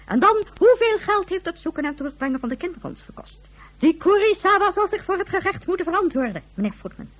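An actress playing a stern, imperious government official in a radio drama, clipped and demanding. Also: female voice, extremely high pitch, big pitch swings, fast talker. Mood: accusing.

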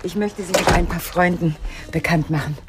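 A woman's voice: lowish voice, moderate pace, heavy background noise, natural voice. A woman playing a gracious, poised hostess, a writer's wife, in a radio drama, speaking politely.

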